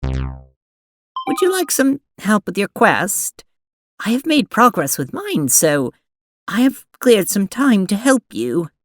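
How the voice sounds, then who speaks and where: Woman playing a prim, lively-pitched alien seamstress. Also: slight background noise. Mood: polite, eager. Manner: formal, precise, deliberate diction. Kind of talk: audio drama acting and narration.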